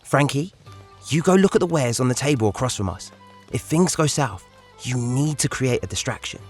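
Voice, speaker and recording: Audio drama teen played by a man: eldest urchin and planner, husky mid-range voice, firm, instructing, music underneath. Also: mid-range voice, expressive, normal speed. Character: thirteen-year-old boy, responsible, scheming leader of urchins.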